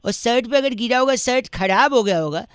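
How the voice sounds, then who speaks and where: Man, prank caller, high pitch, bright, teasing, dry close mic. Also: expressive, brisk, smooth, dry recording. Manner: teasing, mock-polite, laughs at own jokes. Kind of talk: prank phone call.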